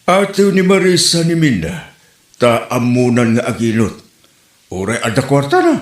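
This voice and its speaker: Man acting a swooping, animated Ilocano grumbler. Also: mid-range voice, measured pace. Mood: complaining. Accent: Filipino, Ilocano. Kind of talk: amateur comedy drama acting in Ilocano. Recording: roomy echo.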